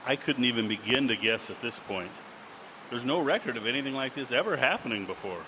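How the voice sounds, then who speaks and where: An actor playing an older male professor in a live audio drama, answering in a halting, uncertain way. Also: medium pitch, lively intonation, noisy background, natural voice. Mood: puzzled.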